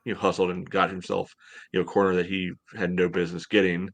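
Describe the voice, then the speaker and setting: An American man in his thirties hosting a casual sports podcast over a video call, speaking haltingly with lots of "you know". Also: low voice, level tone, gravelly. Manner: hesitant, halting delivery, heavy use of "you know". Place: home room, indoors, on a video call. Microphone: headset on video call.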